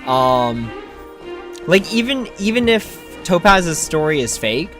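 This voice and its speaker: A man's voice: fairly high pitch, very animated, slow, a bit bright. A man giving casual gameplay commentary as a streamer. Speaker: game streamer. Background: game music.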